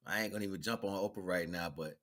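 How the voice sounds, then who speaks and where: A man with a very low voice talks as a spiritual streamer. He rambles philosophically, pausing and circling back, and drops colloquial slang between lofty phrases and questions to the listener. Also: a bit bright. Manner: philosophical, wordy, halting, with rhetorical questions. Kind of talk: rambling spiritual monologue to an audience.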